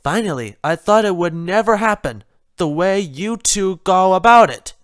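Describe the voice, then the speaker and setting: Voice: mid-range voice, lively intonation, moderate pace, no echo, studio quality, lightly acted. A narrator voicing a bossy, blunt young female pony in a storybook reading, impatient and exasperated.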